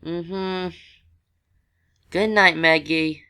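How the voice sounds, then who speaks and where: Woman playing a slow, clear-voiced little boy. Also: on the low side, fairly even intonation, sharp tone, heavily acted. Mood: sleepy. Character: sweet, earnest little boy, attached to his friend. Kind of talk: amateur audio drama acting.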